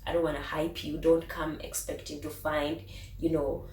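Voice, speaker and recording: A low-voiced woman from Uganda gives lively, emphatic reaction commentary. She sits back from the mic, and the room echoes around her. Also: normal speed, distant mic. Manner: enthusiastic, emphatic. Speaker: reaction channel host.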